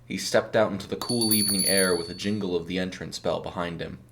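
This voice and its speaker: A man's voice: low-pitched, fairly even intonation, fast talker, noisy background. A man reading a scripted short story as a dramatic narrator.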